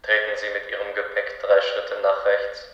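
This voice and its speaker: An actor in a German radio play voicing an impersonal, officious clinic gatekeeper, clipped and commanding, heard as an intercom. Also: male voice, fairly low pitch, monotone, normal speed, a bit bright. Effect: intercom speaker with heavy echo. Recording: very echoey, dull intercom sound.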